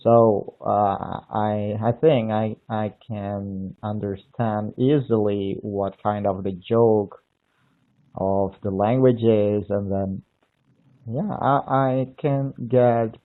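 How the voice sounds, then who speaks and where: Halting Indonesian-accented man on a tinny line. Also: lowish voice. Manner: halting, searching for words, frequent restarts. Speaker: language learner on a live video call. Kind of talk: casual chat.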